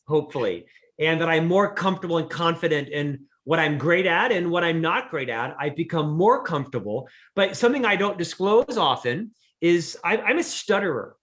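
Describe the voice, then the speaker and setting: A middle-aged man with a notably high voice talks as a podcast guest. He tells candid, self-deprecating stories in an earnest, conversational way. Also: fairly bright tone. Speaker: podcast guest, leadership expert. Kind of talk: conversational interview.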